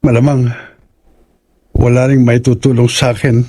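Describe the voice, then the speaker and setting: An actor playing a frightened young man in a horror audio drama, speaking in a husky voice. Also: medium pitch, flat delivery, moderate pace, warm tone, natural voice. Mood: uneasy.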